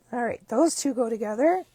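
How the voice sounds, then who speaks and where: A hoarse woman with a croaky voice, chatting upbeat through a card-making tutorial step by step. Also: a little high, darkish tone, dry recording. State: hoarse, losing her voice. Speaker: craft demonstrator.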